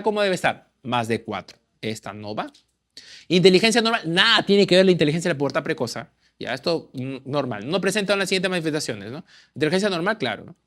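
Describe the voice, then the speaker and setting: Man, high voice, exam-prep tutor who quizzes, then answers. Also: a bit bright. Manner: quizzing, answers own questions. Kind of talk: lecturing through exam questions.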